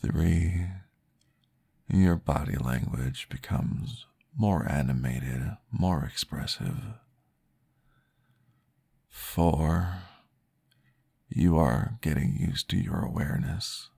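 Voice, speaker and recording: A man playing a calm, courteous vampire therapist in an ASMR roleplay, guiding gently and formally in a breathy, gravelly voice. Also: extremely low pitch, full character voice. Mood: soothing, reassuring. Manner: slow, gentle, formal, soothing guidance.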